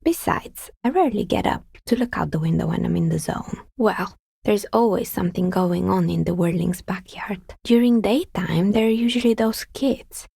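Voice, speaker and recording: A woman playing a skeptical, world-weary artisan in a video game, with dry, sardonic and politely measured delivery. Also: medium pitch, gravelly, mellow, slightly rough, no echo. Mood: polite, matter-of-fact. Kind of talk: video game dialogue acting.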